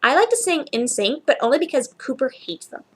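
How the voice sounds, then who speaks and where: A woman's voice: high-pitched, sing-song, fairly quick. A woman voicing an earnest, chatty four-year-old boy in a story, with simple, childlike run-on sentences. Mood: proud, matter-of-fact.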